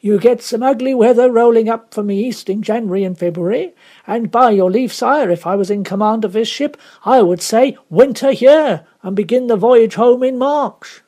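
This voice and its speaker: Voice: medium pitch, normal speed, mellow, voice pushed up, close to an everyday voice. A reader voicing a weathered old male sailor who speaks earnestly and respectfully. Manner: earnest, respectful sea talk.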